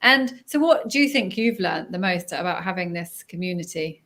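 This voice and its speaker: A woman with a fairly low voice hosts a relaxed interview. She affirms her guest often and keeps her talking with warm follow-up questions. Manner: encouraging, quick to affirm. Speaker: interviewer, host. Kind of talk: casual interview chat.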